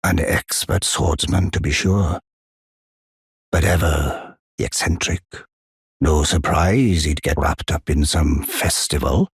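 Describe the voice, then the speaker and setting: A man voicing a gentle, wise giant blacksmith and war counselor in a fantasy game, speaking in a courteous, solemn, formal way with a deep, husky, gravelly voice. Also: slow, no room sound, studio quality, exaggerated voice. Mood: reflective, solemn. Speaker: video game character. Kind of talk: scripted fantasy game dialogue.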